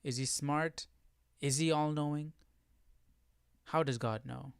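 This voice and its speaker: A man's voice: fairly high pitch, steady tone, takes it slowly, vocal fry, smooth, no echo, studio quality. A man hosting a casual live Bible study, explaining as he thinks aloud and often posing questions. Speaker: livestream Bible study host.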